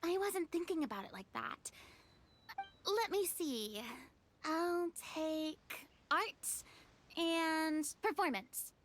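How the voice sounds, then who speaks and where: A woman's voice: very high-pitched, animated, slow pace, long pauses, a bit bright, full character voice. A woman playing a curious, easily flustered young girl in a video game, speaking in a hesitant, wondering way.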